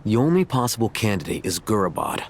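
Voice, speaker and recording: A man playing a shrewd, cautious desert traveller in a video game, measured and analytical in the way he talks. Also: lowish voice, big pitch swings, noisy background. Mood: composed.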